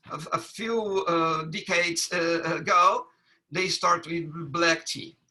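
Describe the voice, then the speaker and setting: Man, webinar talk on a computer mic, slow, hesitant, bright tone. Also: high-pitched, rough recording. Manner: halting, many fillers and restarts. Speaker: business presenter. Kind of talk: webinar presentation.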